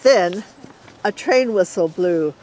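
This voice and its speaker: A middle-aged woman reading a children's story aloud in an expressive storyteller's way. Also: lowish voice, slow pace, very noisy.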